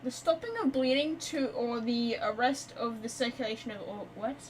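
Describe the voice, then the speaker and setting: A woman game streamer with a high voice chats casually and reacts aloud to what she plays. There is faint noise behind her. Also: moderate pace. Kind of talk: casual gameplay commentary.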